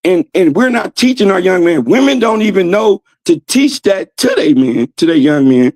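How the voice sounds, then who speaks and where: A man's voice: high-pitched, lively intonation, moderate pace, a little mellow, no room sound, clean studio sound. A man of fifty, a guest in a casual chat, talking earnestly and agreeing with the host. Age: 50s.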